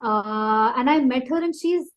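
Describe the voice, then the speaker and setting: An Indian woman speaks in a high voice as a singer being interviewed. She chats informally and tells little anecdotes, gushing with appreciation for the people she describes. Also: a little slow, dull audio. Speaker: singer-songwriter guest. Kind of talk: casual chat, telling anecdotes.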